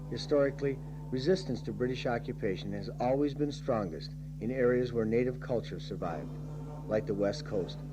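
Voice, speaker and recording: A man from Ireland narrating a documentary in an earnest, measured first-person voice, telling of his own journey. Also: medium pitch, lo-fi sound. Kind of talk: reflective scripted narration.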